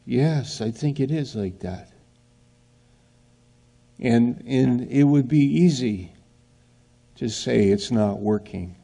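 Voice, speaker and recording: Slow, gravelly male preacher. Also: mid-range voice, lively intonation, dark tone. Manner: earnest, asks rhetorical questions, acts out scenes. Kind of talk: sermon.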